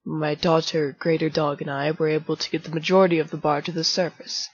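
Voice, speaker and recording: A woman voicing a formal, gentle adult male fire-monster bartender in a fan comic dub, speaking politely without contractions. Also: deep voice, steady tone, fairly quick, breathy edge, no echo, muffled recording. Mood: kind.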